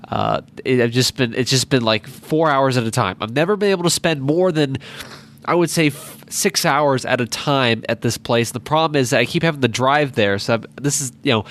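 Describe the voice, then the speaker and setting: A man's voice: medium pitch, a bit bright. A nineteen-year-old man with a stuffed-up nose, rambling through a casual solo podcast with many restarts and asides. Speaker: podcast host. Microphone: podcast microphone.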